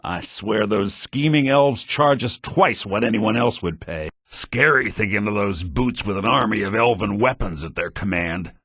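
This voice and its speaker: Haughty game townsman, grumbling, rough husky voice, animated delivery, dry low-bitrate sound. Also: normal speed, hardly any pauses, no echo. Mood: haughty, calculating. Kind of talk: video game character voice lines.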